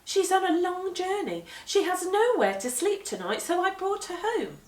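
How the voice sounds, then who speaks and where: A woman's voice: extremely high pitch, sing-song, normal speed, a little mellow, voice pushed up. A woman voicing a caring, nearly grown-up village girl from a storybook, explaining things earnestly. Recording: roomy echo, distant microphone.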